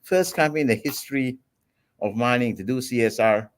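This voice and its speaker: A man in his forties from Singapore, a guest on an interview show, telling his story in a relaxed, steady way with many ums. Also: medium pitch, brisk. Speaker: guest entrepreneur.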